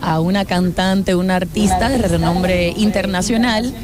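A woman's voice: fairly low pitch, steady tone, measured pace, crowd noise. Eager woman visitor. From Dominican Republic. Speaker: visiting fan. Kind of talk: interview answers.

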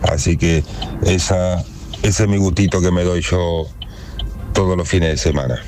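Very deep-voiced man calling in. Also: steady tone, moderate pace, a little mellow, a little hiss or hum. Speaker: caller, football fan. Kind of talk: phone call to a radio show.

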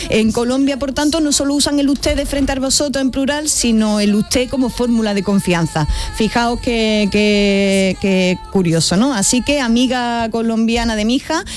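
Chatty Andalusian woman on the radio. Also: on the high side. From eastern Andalusia, Spain. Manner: enthusiastic, laughs often, chatty. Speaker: radio language commentator. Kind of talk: radio talk explaining language.

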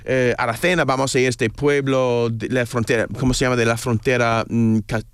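A man's voice: mid-range voice, flat delivery, a little fast, smooth, dry recording, clean studio sound. A native English-speaking man, a guest on a radio show, chatting in a casual way, hesitant and searching for words but enthusiastic.

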